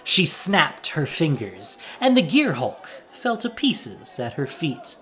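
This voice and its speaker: Man narrating with very lively pitch. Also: slow. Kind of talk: dramatic scripted story narration.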